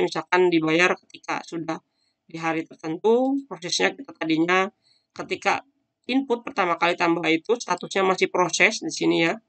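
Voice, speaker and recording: A man's voice: fairly high pitch, vocal fry, a bit bright. A man teaching a screen-recorded coding tutorial, talking casually and thinking aloud with frequent fillers as he demonstrates. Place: at a computer, voice-over on screen recording.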